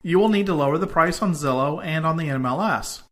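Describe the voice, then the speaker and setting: A man with a fairly high, very bright and forward voice delivers scripted instructional narration. He speaks deliberately, in measured phrases, putting clear stress on the words that introduce each new point. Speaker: instructor in a home-selling course.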